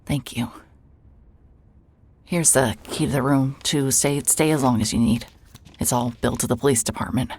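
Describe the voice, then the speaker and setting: An actress playing a rueful, conflicted woman reporter with a husky voice and halting delivery in a naturalistic audio drama. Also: a bass voice, darkish tone, heavily acted. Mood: subdued, reassuring. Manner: hesitant, halting.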